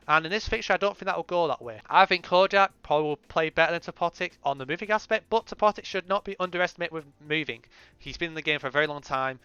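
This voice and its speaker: A man's voice: fairly high pitch, pushed and bright, clean tone, no echo. A man giving casual spoken predictions as a video creator, hedging and restarting, his voice worn from recent illness. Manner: chatty, hesitant restarts, hedging opinions. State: recovering from illness, voice going. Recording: slightly dull lo-fi sound, faint music.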